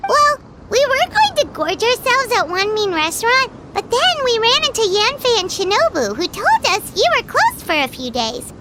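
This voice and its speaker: A woman voicing a tiny, chatty, excitable fairy companion in a video game, with a squeaky, childlike voice. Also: normal speed, few pauses, very noisy. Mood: cheerful. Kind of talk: animated video game voice acting.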